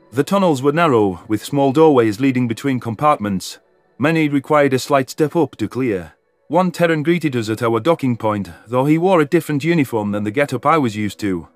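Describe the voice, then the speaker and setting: A man narrates a story in the first person from a script. His voice sits at a medium pitch. Music plays clearly behind him. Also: no room sound. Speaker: audiobook narrator.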